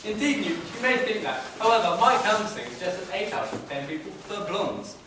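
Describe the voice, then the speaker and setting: A grandiose comic pantomime candidate acted by a man, excitable and swooping. The voice is rather high. It is distant, with clear noise. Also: brisk. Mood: pompous. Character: pompous, squabbling comic male rival candidate. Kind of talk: pantomime stage acting. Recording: far, echoey noisy stage.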